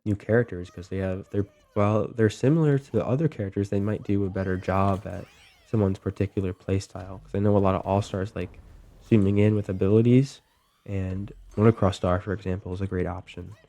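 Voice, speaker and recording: A young man getting over a cold, talking through game tips in a relaxed way with lots of ums and likes. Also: low-pitched, fairly quick. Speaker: gaming video host. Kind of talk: casual gameplay commentary.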